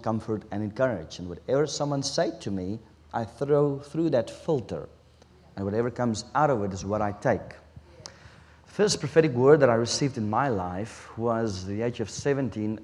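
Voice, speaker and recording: A man from South Africa with an Afrikaans accent, whose first language is Afrikaans, preaching a sermon in an earnest, halting way with restarts. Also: mid-range voice, a little mellow, big-room sound.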